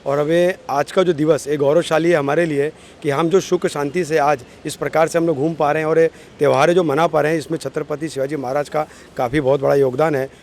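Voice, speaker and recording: Man, from Maharashtra, rapid speech, effusive political interviewee, repetitive emphasis, faint traffic noise. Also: fairly high pitch, fairly even intonation, a little mellow. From born in Maharashtra, India. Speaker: political party office-bearer. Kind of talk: earnest interview remarks.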